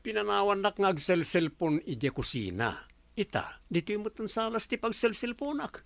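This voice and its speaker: Lazy filipino husband in a radio drama, whiny and wheedling, pitch jumps around a lot. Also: male voice, sing-song, normal speed, creaky, a bit bright, no room sound, full character voice. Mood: defensive. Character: idle, proud degree-holder husband, wheedling and lazy.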